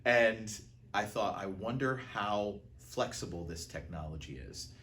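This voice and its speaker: A man's voice: lowish voice, lively intonation, unhurried, fairly bright tone, a little hiss or hum. An American man giving a conversational interview account as a theater art director, telling it like a storyteller.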